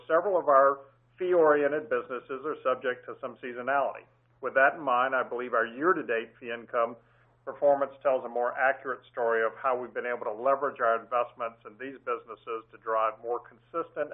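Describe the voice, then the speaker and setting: Bank executive, male, mid-pitched, measured and businesslike delivery, heard through a thin phone-line sound. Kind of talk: earnings call remarks.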